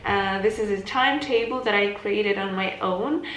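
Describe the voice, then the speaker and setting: A woman's voice: mid-range voice, moderate pace, fairly bright tone, roomy sound, slight background noise, rough recording. A teenage girl with a Ukrainian accent, a native Ukrainian speaker, giving earnest self-improvement advice in a vlog, with slightly non-native phrasing. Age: teens. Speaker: vlogger.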